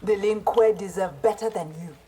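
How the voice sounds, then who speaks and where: A woman's voice: medium pitch, moderate pace, very noisy, lightly acted. A woman playing a proud, honorable armored ninja in a video game, speaking formally and with earnest resolve. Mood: resolute. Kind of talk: video game character dialogue.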